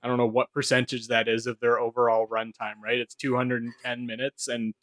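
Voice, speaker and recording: A man with an average-pitched voice co-hosts a casual panel discussion. He talks informally, with some swearing thrown in. The sound is dry and close. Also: completely flat delivery, a little fast, a bit bright, no echo. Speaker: podcast co-host.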